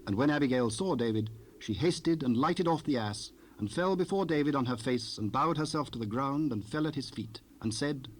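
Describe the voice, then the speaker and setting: A man narrating King James scripture in a measured, formal storytelling manner. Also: mid-range voice, expressive. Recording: unprocessed cassette rip with noise.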